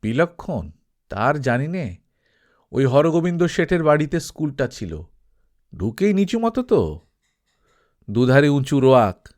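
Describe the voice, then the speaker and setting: A helpful old townsman from a storybook, acted out by a man reading aloud. He talks in a chatty, emphatic way, his pitch moving freely up and down. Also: very animated, measured pace, pauses often, darkish tone. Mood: confident recall. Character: helpful long-time local townsman, older man.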